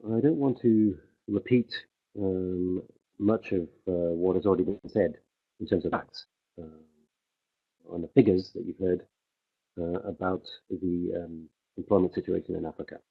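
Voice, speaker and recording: A deep, husky male voice interpreting a conference talk live, pausing and restarting as he tracks the speaker. The sound is thin and phone-like, as if it came through a narrow livestream feed from an interpreter booth. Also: steady tone. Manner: halting, many fillers and restarts while keeping pace with the speaker. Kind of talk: simultaneous interpretation of a conference speech. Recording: tinny phone-like livestream audio.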